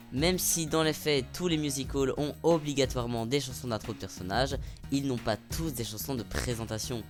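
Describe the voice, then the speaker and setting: A man delivering a scripted video review of a stage musical, opinionated and sarcastic, with sudden heated outbursts. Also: mid-range voice. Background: faint background music.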